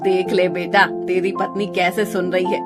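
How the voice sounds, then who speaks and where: A woman's voice: fairly low pitch, level tone, moderate pace, music in the background, close to an everyday voice. A woman voicing a scolding, aggrieved older mother-in-law who nags and complains in a moral story. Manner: nagging, complaining.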